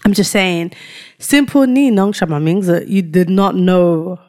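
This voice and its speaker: A woman's voice: on the low side, measured pace, mellow. A woman co-hosting a podcast in casual banter, using slang like a habit and explaining things with examples and asides. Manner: slangy, says fam and yeah often. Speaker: podcast co-host.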